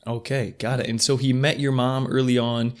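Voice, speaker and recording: A man's voice: medium pitch, little pitch movement, moderate pace. A man hosting an interview podcast, delivering introductions and putting questions to his guest. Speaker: podcast host.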